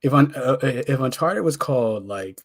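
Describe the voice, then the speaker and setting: A man's voice: medium pitch, expressive, normal speed, dry recording. An American man co-hosting a pop culture podcast, talking casually and informally with plenty of slang and opinions. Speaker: podcast co-host.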